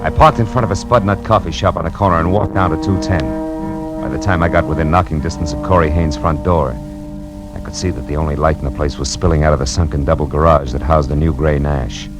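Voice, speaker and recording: A man's voice: extremely low pitch, fairly even intonation, fast. An American man playing a tough, wisecracking private detective in an old radio crime drama, speaking in clipped slang. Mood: tense narrating. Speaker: radio drama lead actor and narrator. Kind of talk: old-time radio crime drama, first-person narration. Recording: old radio recording, loud music behind.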